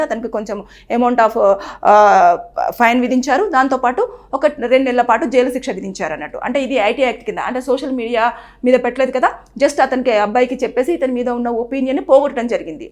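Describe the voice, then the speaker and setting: A woman, presenting an earnest explainer that advises the viewer and acts out what people say. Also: a little high. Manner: earnest, advising, repeats phrases and acts out quoted speech. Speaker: news presenter. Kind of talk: explainer to camera on a legal topic.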